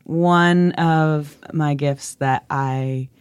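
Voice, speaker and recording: A woman singer co-hosting a podcast in unhurried, expressive conversation. She speaks slowly in a very low register and laughs easily. The recording is dry and close-miked. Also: smooth, no echo. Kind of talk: casual conversational podcast chat.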